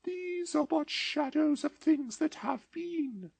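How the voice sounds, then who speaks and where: Audiobook ghost,: gentle and otherworldly, very high and dark-toned, unhurried. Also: female voice, expressive, vocal fry, dry recording, exaggerated voice. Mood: solemn. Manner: slow, solemn and mild. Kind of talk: audiobook dramatization.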